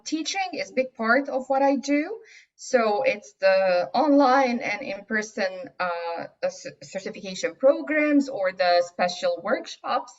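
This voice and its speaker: A woman whose first language is Arabic, from Egypt, answering interview questions in a casual, hesitant way with many fillers and restarts. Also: fairly high pitch. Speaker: guest aromatherapy teacher. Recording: dull lo-fi audio.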